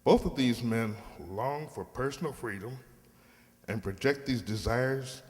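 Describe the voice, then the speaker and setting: A man hosting, reading a scripted introduction to a radio play in a measured, formal way. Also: mid-range voice. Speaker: host. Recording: reverberant hall sound.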